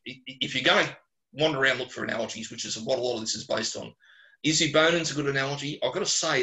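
An Australian man, a geologist answering questions informally on a webinar, speaking bluntly and colloquially with animated digressions. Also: medium pitch, gravelly, rough recording. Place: small closed room at home or office, on a video call.

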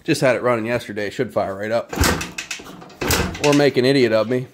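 A man's voice: mid-range voice, brisk, very noisy. A man chatting casually in his garage workshop as he shows off an old three-wheeler, joking at his own expense. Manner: chatty, wry self-deprecating jokes. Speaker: vintage three-wheeler restorer. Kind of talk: casual workshop show-and-tell.

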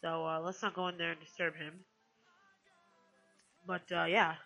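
A woman with a bright, slightly low-set voice talks through a casual screen-recorded tutorial. She rambles and hesitates, correcting herself as she goes, with some music playing behind her. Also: level tone, moderate pace, gravelly. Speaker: tutorial host.

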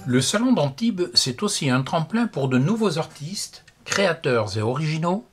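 Lively male news narrator. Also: a little high, moderate pace. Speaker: reporter narrator. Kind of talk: scripted news voice-over. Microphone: studio voice-over. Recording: music bed under voice.

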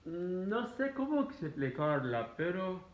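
Egyptian guy in his 30s, spanish isn't his first language, slow-talking travel vlog, sounds like a phone line. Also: high voice, very noisy. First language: Arabic. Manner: animated, gesturing, Spanish learned as a second language. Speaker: travel vlogger. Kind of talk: casual answers to viewer questions. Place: living room at home, indoors. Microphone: phone camera at arm's length. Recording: tinny phone-like sound in an echoey room.

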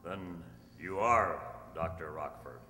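A man playing a calm, upright masked cowboy hero with measured, formal delivery, in old-time radio style on stage. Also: sits low, heavily acted. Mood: persuasive. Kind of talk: old-time radio drama acting read from script. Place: stage in a community hall. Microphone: stand microphone. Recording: echoey hall, humming background noise.

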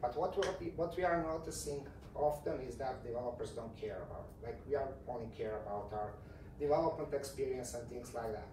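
A man's voice: medium pitch, brisk, darkish tone. Croatian guy doing an informal conference talk, accented, repeats words, echoey and a bit far from the mic. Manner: informal, repeats words, non-native phrasing. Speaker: conference speaker, tech company CEO. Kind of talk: conference presentation. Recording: roomy, distant mic with faint noise.

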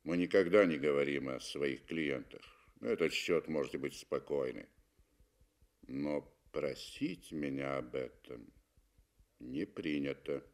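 A man's voice: a bass voice, a little slow, pitched below the performer's own voice. Man voicing a blunt, cool safari hunter. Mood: coldly firm. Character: cool, curt British male safari hunter.

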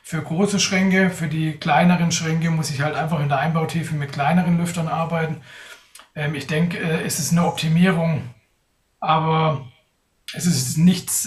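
A man's voice: on the high side, steady tone, moderate pace, far from the mic. A young man answering audience questions on a live video call, thoughtful, with many hesitations. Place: indoors in front of a sponsor backdrop. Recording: roomy, off-mic sound.

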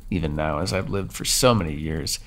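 A man voicing a brooding ancient male vampire who holds a human captive, speaking in a soft, measured, confiding way for an ASMR audio roleplay. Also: extremely low pitch. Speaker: voice actor.

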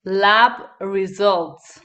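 Slow Bulgarian-native woman drilling terms. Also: medium pitch, bright, forceful tone, lo-fi sound. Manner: slow, repeats each term twice. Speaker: interpreting instructor. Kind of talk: terminology drill on a video call. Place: small room indoors. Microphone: headset.

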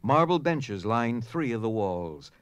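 A man's voice: mid-range voice, sing-song, unhurried, a little hiss or hum. A man narrating a scripted story dramatically in an audio drama.

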